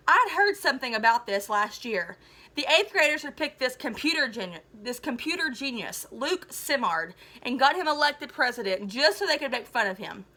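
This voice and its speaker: A woman teacher reads a children's story aloud to her students. Her voice is very high and bright. She is animated and expressive, with a chatty, enthusiastic way of talking.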